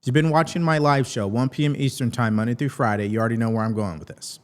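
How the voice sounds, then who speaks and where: A man's voice: medium pitch, steady tone, fast. An American man speaking as the host of a political commentary show, addressing viewers directly.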